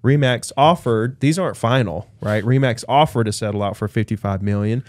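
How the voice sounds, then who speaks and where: Chatty animated man, dry close sound. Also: medium pitch, fairly quick, dry recording. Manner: animated, chatty, lots of fillers. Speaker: real estate agent. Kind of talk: casual panel debate.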